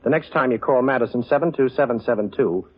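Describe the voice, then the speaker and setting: An actor playing a wisecracking, cool-headed amateur sleuth with brisk banter in a 1940s radio detective drama. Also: male voice, medium pitch, breathy edge, close to an everyday voice. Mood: teasing. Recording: muffled old radio recording.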